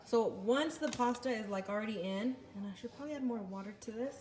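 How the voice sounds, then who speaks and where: A woman's voice: on the high side, quick pace, a little mellow, a little hiss or hum. A woman talking through a recipe as she cooks in her home kitchen, chatty and correcting herself as she goes, filmed on a handheld phone. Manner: chatty, self-correcting, talks while cooking. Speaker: home cooking vlogger. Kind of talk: casual cooking tutorial. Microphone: handheld phone camera.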